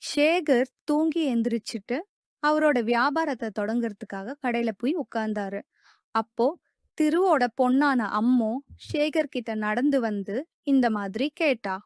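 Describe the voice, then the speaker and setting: Female narrator for kids' cartoon tales: scripted storytelling, raised pitch, dry studio-like sound. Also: unhurried, no echo.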